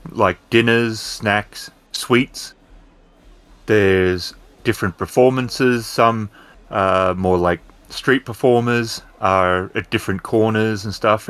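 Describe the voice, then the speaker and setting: Low-voiced man running a tabletop game. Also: fairly bright tone. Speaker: game master, storyteller. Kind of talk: improvised tabletop game narration.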